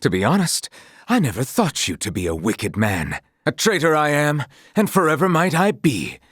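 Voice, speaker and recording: An actor playing an earnest, honorable young knight in a fantasy video game, speaking in formal, archaic, measured phrases. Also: male voice, on the high side, big pitch swings, a bit bright, husky, dry recording, studio quality, heavily acted. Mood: solemn. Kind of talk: dramatic video game voice acting.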